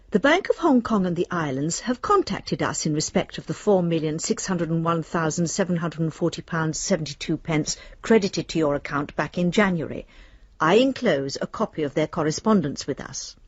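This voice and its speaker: An actress playing a formal, politely reserved clerk, reading business letters aloud in a radio drama. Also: female voice, fairly low pitch, breathy edge, dry recording, highs cut off. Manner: prim, businesslike, measured.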